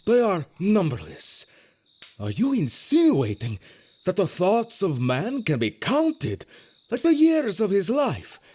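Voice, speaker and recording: A proud, skeptical wise man of the ancient East in an audio drama. He declaims and argues, his pitch rising and falling dramatically as he guards his order. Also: huge pitch swings, slow, darkish tone, husky, full character voice. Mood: indignant. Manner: declamatory, argumentative.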